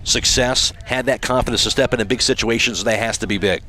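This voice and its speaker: An American man calls a baseball game live for radio in a mid-pitched voice. He works as the play-by-play announcer, and clear outdoor ballpark noise sits behind him. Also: steady tone, brisk, pushed and bright.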